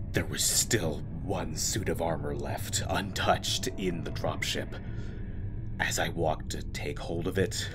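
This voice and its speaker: A man playing a battle-scarred, haunted soldier in an audio drama, telling his story in a halting, intense, often breathy monologue. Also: extremely low pitch, noisy background. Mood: cold, grim. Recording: loud music under the voice. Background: loud music.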